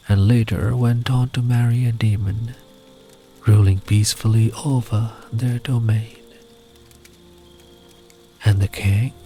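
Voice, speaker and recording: A man telling a story in a gentle ASMR style, soft and soothing, often slipping into a breathy near-whisper. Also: medium pitch, a little hiss or hum. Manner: soothing, unhurried, tender.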